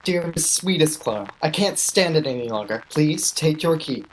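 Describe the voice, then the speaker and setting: A woman's voice: sits low, lively intonation, normal speed, slight background noise, poor audio. A woman playing an ardent young male shop clerk in an old-time radio play. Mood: ardent, pleading.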